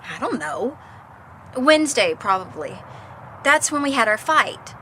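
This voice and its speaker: High, bright, indignant college girl. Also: sing-song, unhurried, slight background noise. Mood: defensive. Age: young adult. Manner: petulant, breezy, defensive. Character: impulsive, naive, indignant young female college student. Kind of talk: radio mystery drama acting.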